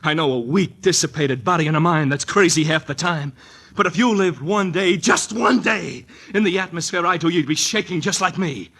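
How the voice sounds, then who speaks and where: A man's voice: fairly high pitch, moderate pace, fairly bright tone, slightly rough. An American actor playing a nervous, dissipated rich young man in fear for his life, stammering and pleading in 1940s radio melodrama. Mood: desperate, agitated. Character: jittery, desperate, dissipated rich young man, fearing death. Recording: vintage 1940s radio recording.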